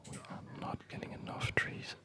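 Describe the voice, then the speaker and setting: A person whispering ASMR commentary while playing a game, breathy and unhurried, murmuring as if to themselves. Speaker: gamer narrating own play.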